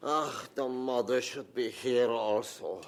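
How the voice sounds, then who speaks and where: An actor playing a nagging older German father in a radio drama, critical and deliberate, with a German accent and foreign word order. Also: medium pitch.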